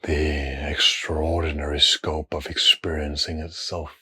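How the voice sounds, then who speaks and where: A man guides a meditation in an exceptionally deep voice with a breathy, husky grain. His intonation stays very even and his delivery is slow and hushed. He sets out short phrases and leaves long pauses between them.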